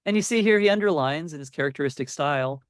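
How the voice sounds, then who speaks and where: A man's voice: fairly high pitch, animated, quick pace, smooth, no room sound, studio quality. A man lecturing to the public as an academic, explaining in a conversational way and leaning on key words for emphasis.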